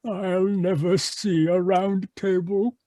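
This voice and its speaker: An actor playing a grand, exasperated old wizard in a comic radio commercial, pompous and theatrical. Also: male voice, sits high, level tone, takes it slowly, dark tone, dry recording.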